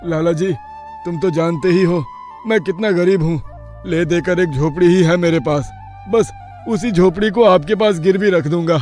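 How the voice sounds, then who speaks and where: A poor village father acted out by a man, humble and deferential, with an earnest pleading manner. His voice sits fairly high, over soft music in a cartoon moral story. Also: moderate pace, natural voice.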